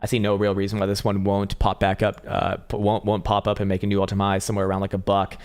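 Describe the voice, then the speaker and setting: A man hosting a live crypto trading stream, talking loosely and profanely with halting restarts as he reads viewer chat, his voice getting strained and hoarse. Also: on the low side, monotone, darkish tone. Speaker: crypto trading livestream host. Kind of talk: casual live-stream chart commentary.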